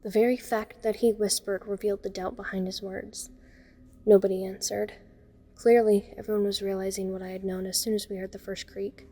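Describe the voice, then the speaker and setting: A woman's voice: medium pitch, level tone, fairly quick, warm tone, natural voice. A woman playing a frightened young woman, narrating a scripted first-person horror story. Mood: suspenseful. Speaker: voice actor narrating a fan audio drama.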